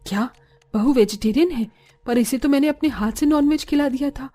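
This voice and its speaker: A woman's voice: high voice, a little fast, mellow, faint music behind. A woman voicing a demanding, fault-finding mother-in-law in a cartoon family drama. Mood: scolding.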